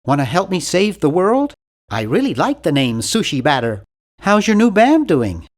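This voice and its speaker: Geeky young adventure game hero, nasal, over-articulate, earnest, socially awkward, very swooping intonation. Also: male voice, on the high side, sing-song, normal speed. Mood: curious, awkward. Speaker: video game voice actor. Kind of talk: animated adventure game character lines.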